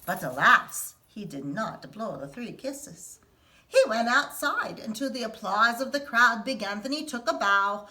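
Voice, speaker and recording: A woman teacher reading a picture book aloud to children in an expressive storytelling manner. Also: a little high, unhurried, poor audio. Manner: expressive, sing-song storytelling.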